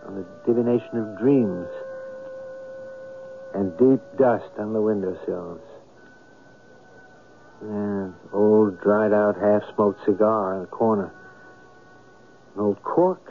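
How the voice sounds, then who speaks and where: An actor playing a haunted, wondering storyteller in a radio mystery, speaking in a deliberate, brooding, theatrical way. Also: male voice, lowish voice, vocal fry. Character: haunted, wondering male storyteller searching a shabby room. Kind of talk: dramatic radio mystery narration. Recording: tinny old radio recording, music behind.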